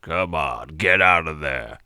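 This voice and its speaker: An extremely deep, hard-edged gangster enforcer, acted out by a male storyteller, irritable and sardonic. He threatens in tough-guy slang, and the sound is very forceful and close. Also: expressive, slow pace, clean tone, no echo, heavily acted. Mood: annoyed. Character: gruff, irritable, menacing stocky mob enforcer man.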